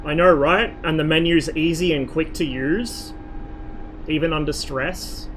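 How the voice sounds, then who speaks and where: A man's voice: a little high, unhurried, slight background noise, rough recording. Casual young man streaming a sim. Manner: relaxed running commentary, swears under pressure. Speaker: streamer playing a flight sim. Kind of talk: casual gameplay commentary.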